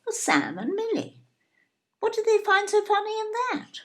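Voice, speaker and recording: A woman's voice: very high-pitched, wildly swooping pitch, normal speed, full character voice. A woman acting in an audio play as an indignant, snobbish older English mother, fussy and emphatic, with an English accent. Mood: affronted, baffled. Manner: emphatic, repetitive, tag questions.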